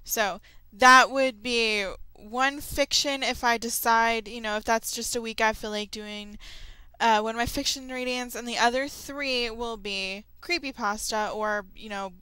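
A woman hosting her channel, chatting casually and excitedly to her viewers in an informal audio update. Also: fairly high pitch, measured pace, clean tone, no echo, low-quality recording. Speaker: creepypasta narrator and channel host.

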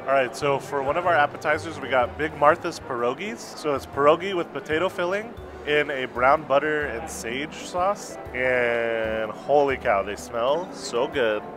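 A man's voice: mid-range voice, a little slow, hardly any pauses, music in the background. Enthusiastic man reviewing food. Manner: enthusiastic, gushing about food. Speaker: food vlogger. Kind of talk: casual restaurant review. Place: busy indoor restaurant patio. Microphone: lapel mic.

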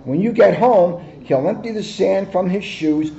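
Older guy in his 60s reading a kids book with jokey asides, high voice, mellow, kinda dull audio. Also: unhurried, vocal fry. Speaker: police officer reading a children's book. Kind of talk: picture book read-aloud with joking asides. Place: office, indoors. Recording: dull, lo-fi camera microphone.

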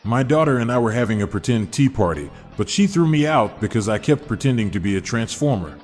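A man's voice: mid-range voice, animated, fairly quick, darkish tone, soft background music. Man reading dad jokes in a roomy hall. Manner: upbeat joke delivery with chuckles at punchlines. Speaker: podcast host.

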